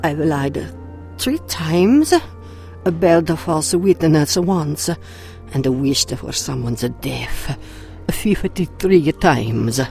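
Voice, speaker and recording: Here is a spiteful, church-going older mother in a radio drama. She speaks slowly in a crackling, creaky voice, with faint music behind her. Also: low voice, animated, a little mellow, heavily acted. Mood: pious spite. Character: bitter, pious, judgmental mother of grown son.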